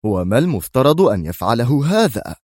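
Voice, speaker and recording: A man's voice: lowish voice, wildly swooping pitch, a little slow, dark tone, husky, no room sound, studio quality, full character voice. A man voicing a wary, suspicious male operative in an audiobook, speaking with tight strain. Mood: suppressed anger. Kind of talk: audiobook character voice.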